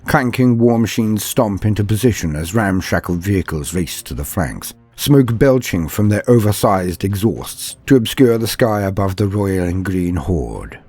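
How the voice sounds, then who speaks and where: A man delivering dramatic scripted narration in a grave, theatrical manner with deliberate emphasis. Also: deep voice, lively intonation, darkish tone, breathy edge, soft background music. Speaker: narrator of a fantasy war lore channel.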